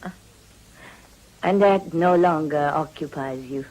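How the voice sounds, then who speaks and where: A woman's voice: low-pitched, slow, a little mellow, rough recording. An actress playing a worldly upper-class British society lady in a period radio drama, gracious, teasing and inquisitive. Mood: gracious, affectionate.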